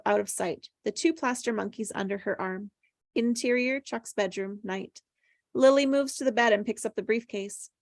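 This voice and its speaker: A woman's voice: a little high, dry recording, studio quality. A woman reading stage directions aloud from a script at a table read, as scripted narration.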